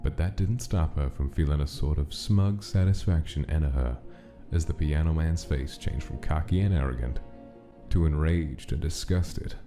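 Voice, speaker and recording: A man's voice: extremely low pitch, normal speed. A man reading scripted story narration as the narrator of a drama. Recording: music clearly under the narration.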